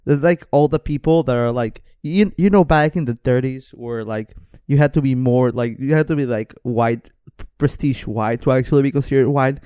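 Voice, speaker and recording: A young man with a smooth, clear voice at a medium pitch, chatting as a podcast co-host. He jokes loosely in slang and swears freely. The sound is close and dry. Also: moderate pace, dark tone, no echo. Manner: slangy, joking, profane. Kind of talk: casual chat.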